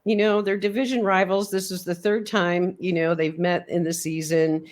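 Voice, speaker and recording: A woman with a fairly low voice hosts a relaxed sports chat. She breaks down the game in a steady, measured, analytical way. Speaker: host.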